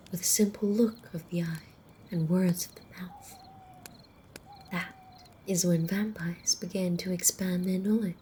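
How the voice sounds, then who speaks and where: A mellow, lowish-voiced vampire woman , acted out by a woman, tells old lore in a hushed ASMR roleplay. She half-whispers slowly and deliberately, wry and protective, a little condescending toward humans. Crickets and faint night ambience sit behind her. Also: slight background noise. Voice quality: soft, breathy, half-whispered. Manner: measured, deliberate, dramatic pauses. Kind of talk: ASMR roleplay, slow hushed campfire storytelling. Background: crickets and night insect ambience.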